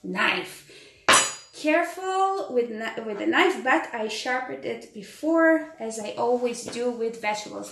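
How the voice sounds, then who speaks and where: A woman with an Israeli accent talks through a cooking demo in unhurried, slightly broken English, pausing for ums and reading viewer comments aloud. Her voice sits a little high. She's in an echoey home kitchen with faint pots and dishes in the background. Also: a bit bright. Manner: chatty, reads and answers viewer comments, frequent ums. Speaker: tour educator hosting a live cooking stream. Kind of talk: casual live cooking demo.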